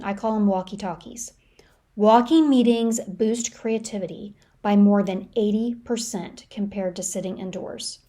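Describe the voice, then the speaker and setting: A woman's voice: medium pitch, darkish tone. An American woman speaking solo as a podcast host, giving lightly scripted advice in a conversational, practical, explanatory way. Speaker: professional speaker.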